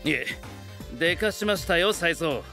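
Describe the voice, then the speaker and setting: A male retainer in an anime drama, speaking in a lightly high register. He is formal and persuasive, a calm schemer with total devotion to his master. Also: moderate pace, gravelly, faint music behind, full character voice. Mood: composed, resolute. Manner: formal, composed, persuasive speech. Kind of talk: Japanese anime voice drama acting.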